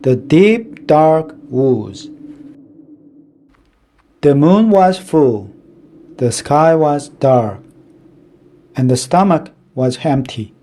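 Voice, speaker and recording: A man reading a children's picture book aloud in a deliberate, dramatic storytelling manner. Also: a little high, animated, warm tone.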